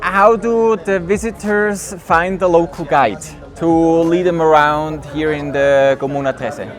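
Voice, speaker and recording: A man's voice: fairly high pitch, fairly even intonation, a little slow, crowd noise. A man hosting a travel interview outdoors, asking friendly, simple questions with some restarts. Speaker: travel vlog host interviewing a guide. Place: outdoors on stairs in a city neighborhood.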